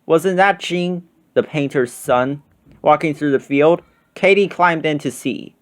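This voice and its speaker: A man reading a children's storybook aloud as scripted, expressive narration. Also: a little high, a little slow. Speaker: storybook reader.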